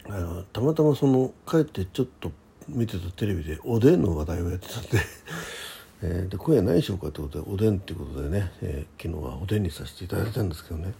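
A man, probably middle-aged, chatting casually by himself as a radio host, halting and thinking aloud in short polite phrases. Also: sits low, animated, creaky, mellow, husky. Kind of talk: casual solo chat about daily life.

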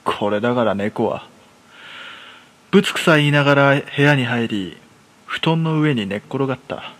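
A man playing a jittery, self-deprecating recluse in his thirties, narrating a first-person horror story for a radio drama in rough, colloquial speech. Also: mid-range voice, normal speed, fairly bright tone, close to an everyday voice. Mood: wry, weary.

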